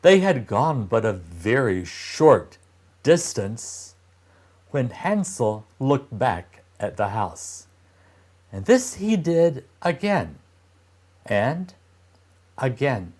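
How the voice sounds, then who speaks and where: Very slow, expressive male storybook reader. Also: mid-range voice. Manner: expressive, deliberate phrasing. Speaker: teacher reading aloud to young children. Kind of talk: storybook narration.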